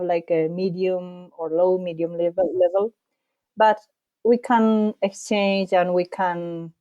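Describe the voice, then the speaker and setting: Mellow-voiced woman. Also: mid-range voice, muffled recording. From Spain. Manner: thoughtful, slightly halting non-native English. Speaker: podcast guest, bike traveller. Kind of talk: casual interview answers.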